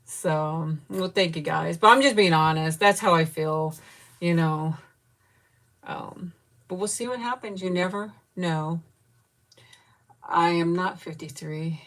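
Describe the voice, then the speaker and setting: A woman in her fifties chatting candidly with viewers, grieving and close to tears, rambling with soft laughs and trailing pauses. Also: on the low side. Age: 50s. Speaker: vlogger chatting with live viewers. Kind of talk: casual live chat.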